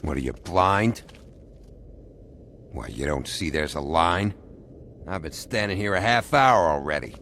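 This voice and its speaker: A man's voice: low voice, expressive, unhurried, long pauses, a bit bright, slight background noise, exaggerated voice. An actor playing a surly, resentful man in a video game, belligerent and sneering with sarcasm. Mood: sarcastic, irate. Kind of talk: video game cutscene acting.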